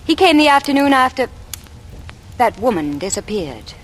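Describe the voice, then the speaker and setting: Intense Englishwoman, very expressive pitch. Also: moderate pace. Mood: urgent suspicion. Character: earnest, suspicious, probing bespectacled young Englishwoman. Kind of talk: 1940s radio acting. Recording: noisy vintage radio recording.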